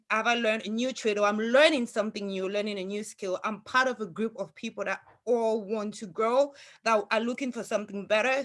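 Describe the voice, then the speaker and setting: Brief: earnest woman guest, bright enthusiasm, slightly halting, higher pitch. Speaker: student guest. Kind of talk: testimonial on a video call.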